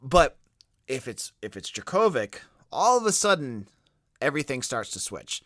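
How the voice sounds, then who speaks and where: Lively Boston-accented sports podcast host. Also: male voice, medium pitch, moderate pace, no echo, studio quality. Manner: conversational, lively, self-correcting. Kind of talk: casual sports podcast talk.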